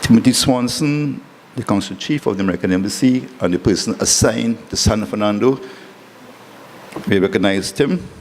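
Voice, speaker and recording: Civic host, male, Trinidadian accent, very low voice, formal halting address, background noise in a big room. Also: darkish tone. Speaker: city mayor giving welcome. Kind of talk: formal welcome speech. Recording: echoey reverberant hall, clearly noisy background.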